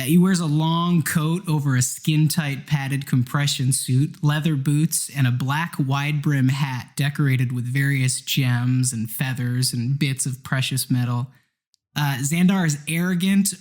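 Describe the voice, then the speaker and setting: A man's voice: a little high, steady tone, a little slow, darkish tone. A man in casual conversation as a podcast player, describing his tabletop role-playing character.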